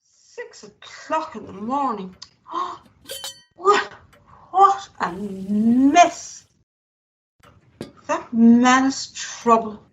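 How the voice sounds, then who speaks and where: A woman's voice: on the high side, lively intonation, very slow, long pauses, highs cut off. A woman playing a put-upon, resentful but devoted worker in a rueful, grumbling radio-play monologue. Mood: exasperated.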